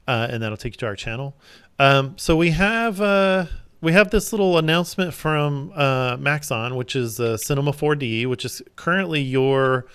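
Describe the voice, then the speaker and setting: Casual man co-host, tired and rambling, medium pitch, dry close sound. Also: clear, resonant tone, no room sound. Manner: rambling, restarts often, relaxed and chatty. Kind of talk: casual live-stream chat.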